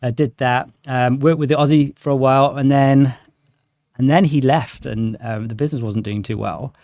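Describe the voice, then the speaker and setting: A man's voice: mid-range voice, fairly even intonation, moderate pace, a little mellow, no echo, studio quality. A man of thirty-nine with an English accent, chatting casually and telling informal anecdotes as a podcast guest. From England, grew up in Shropshire. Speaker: podcast guest, sales director. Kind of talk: casual conversational storytelling.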